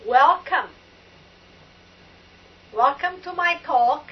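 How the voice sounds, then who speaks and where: A woman's voice: high-pitched, lo-fi sound. An older woman from Portugal with a Portuguese accent, hosting a spiritual talk in an emphatic, exclamatory way. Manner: emphatic and exclamatory, drawn-out words. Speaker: spiritual talk show host.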